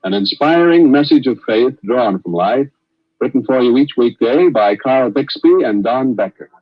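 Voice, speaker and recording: A man's voice: medium pitch, lively intonation, moderate pace, lo-fi sound. A man reading a scripted introduction as a 1940s radio serial announcer, on an old radio recording.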